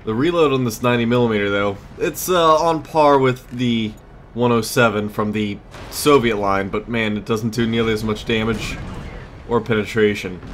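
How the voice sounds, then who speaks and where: A man's voice: medium pitch, moderate pace. A man giving casual gameplay commentary as a let's play host, muttering and exclaiming as he plays. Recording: clear background noise, game ambience.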